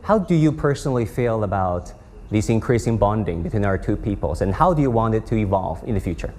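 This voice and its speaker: A man's voice: lowish voice, animated, a little mellow. Polite male TV interviewer. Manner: polite, measured questioning with "um" fillers. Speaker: TV interviewer and host. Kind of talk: news interview and closing narration.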